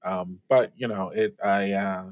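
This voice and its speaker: A man playing a halting, sincere radio host. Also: sits low, steady tone. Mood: grateful, sincere. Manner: halting, hesitant, earnest. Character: sincere, sentimental radio show host addressing faithful listeners.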